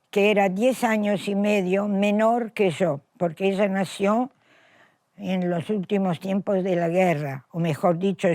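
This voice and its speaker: A woman's voice: mid-range voice, darkish tone, dry recording, clean studio sound. A woman in her eighties with an Italian accent, reflectively telling her life story in a recorded interview, often correcting herself as she goes. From Macedonia. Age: 80s. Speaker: interviewee, Holocaust survivor.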